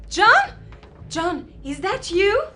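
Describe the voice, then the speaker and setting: A woman's voice: very high voice, big pitch swings, very slow, pushed and bright, a little hiss or hum, full character voice. A woman playing a defiant, headstrong survivor in an audio drama, speaking in an insistent, commanding way. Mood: urgent. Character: defiant, headstrong, loyal woman determined to rescue her friend.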